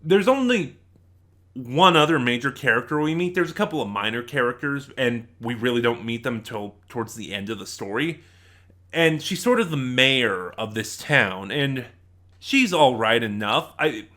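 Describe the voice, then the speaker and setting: A man with a mid-pitched voice hosts a casual review. He talks conversationally, as if chatting to regular followers, and often hedges or drifts into small asides. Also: sharp tone. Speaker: host of a review channel.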